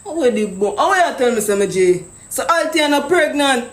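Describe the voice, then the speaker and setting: A man's voice: very high-pitched, lively intonation, moderate pace, fairly bright tone, echoey room. A man with a Jamaican accent making a prank phone call, talking pushy and accusing in thick patois. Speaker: prank caller.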